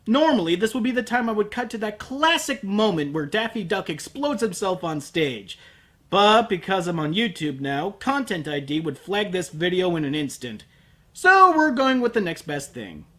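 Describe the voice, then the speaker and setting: A man's voice: sits high, very animated, normal speed, bright, forceful tone. A man delivering a scripted comedic review as host, sarcastic, exasperated and prone to swearing. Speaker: host of an anime review show.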